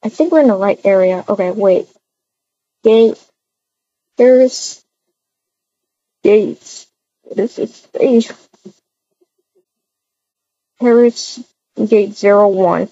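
A woman's voice: medium pitch, drawn-out words, pauses often, mellow, no room sound, muffled recording. A woman improvising a voiced video-game roleplay, chatting and narrating her own actions aloud.

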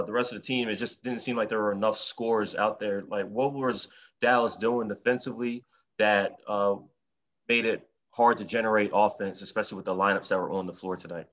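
A man's voice: on the low side, fairly bright tone, lo-fi sound. A man asking a reporter's question at a postgame press conference, winding through long questions with fillers.

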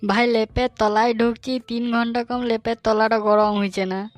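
A man playing a hapless, cheeky young bachelor in a comic cartoon, in colloquial rural western West Bengal dialect with a pitched-up voice. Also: level tone, normal speed, no room sound, faint music behind. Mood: whiny complaining. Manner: colloquial, pleading, bantering.

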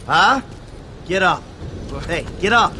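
An actor playing a bossy, exasperated middle-aged heist crew leader in a video game, commanding, sarcastic and profane. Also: male voice, sits high, lively intonation, slow. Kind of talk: video game cutscene acting.

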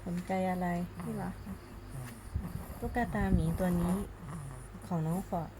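A woman, a mother doing picture-book reading and gentle baby talk, coaxing with simple repeated questions. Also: fairly low pitch, steady tone, measured pace. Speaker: mother reading a bedtime story to her baby. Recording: noisy home recording.

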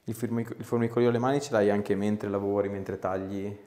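A man in his thirties working as a chiropractor, giving calm, casual, reassuring instructions and short questions to a patient. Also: fairly low pitch, brisk. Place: small clinic treatment room.

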